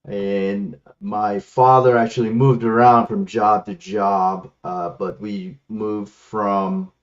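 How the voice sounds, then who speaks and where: A man's voice: lowish voice, level tone, slow. A man from the Philippines, a native Ilocano speaker, answering casually as an interview guest over a headset. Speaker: guest, martial arts instructor. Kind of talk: casual interview answers, recounting his life. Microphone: headset on an online call. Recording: slightly muffled lo-fi headset call.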